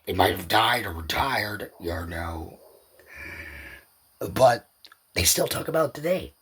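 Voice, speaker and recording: A man's voice: lowish voice, big pitch swings, slow pace, fairly bright tone, slightly rough. A man hosting a podcast, talking in a casual, rambling solo commentary that loosely circles back over points. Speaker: podcast host.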